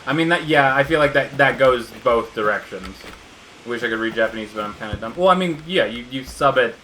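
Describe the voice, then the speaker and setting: A man chats casually over a co-op video game stream, winding through long explanations full of ums and restarts. His voice sits at a middle pitch, with faint noise behind it. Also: animated, brisk, pushed and bright, rough recording. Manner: rambling, many fillers and restarts. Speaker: gaming co-streamer. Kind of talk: casual chat while playing.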